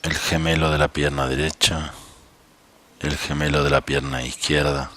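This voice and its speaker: A man leading a guided meditation as a coach, speaking calmly and without hurry, with long pauses between short instructions. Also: rumbling low. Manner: calm, unhurried, leaves long pauses.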